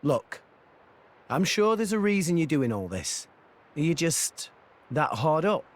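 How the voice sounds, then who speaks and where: A man's voice: fairly high pitch, big pitch swings, unhurried. A man voicing an earnest young male hero who speaks in a coaxing way. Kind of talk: video game voice acting.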